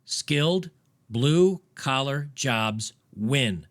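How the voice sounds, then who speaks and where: A man talks through a casual commentary monologue at an ordinary, middle pitch. He is emphatic and rambling, often restarting his sentences as he pushes his point. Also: lively intonation, very slow. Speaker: podcast host.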